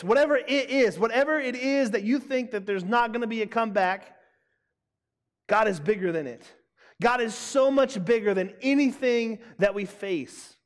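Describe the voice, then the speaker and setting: A young man preaching a sermon to students, emphatic and loud, repeating his key lines. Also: sits high. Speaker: youth pastor. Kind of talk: youth sermon. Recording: long hall reverb.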